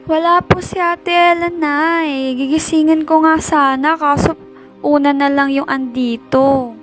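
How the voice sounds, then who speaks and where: A woman's voice: high-pitched, fairly even intonation, a little slow, a bit bright. A woman playing a worried young girl, the youngest sibling, in an amateur radio drama. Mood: anxious, puzzled. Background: background music.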